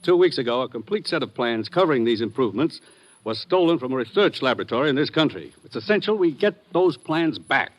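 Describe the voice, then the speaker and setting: A man's voice: mid-range voice, sing-song, fast, close to an everyday voice. An American man playing a serious, authoritative commissioner in 1950s radio drama. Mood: businesslike. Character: authoritative, serious male commissioner briefing his agent.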